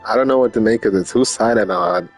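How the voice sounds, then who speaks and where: A man with a fairly low voice chats casually on a game stream. His way of talking is slangy and informal, with the odd swear word. Faint music plays in the background. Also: fast. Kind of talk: casual livestream chat.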